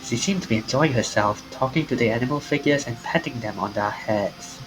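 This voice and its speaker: A man streaming a game, reading its story text aloud in an animated way. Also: mid-range voice, brisk, over music, rough recording. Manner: animated, acts out the lines.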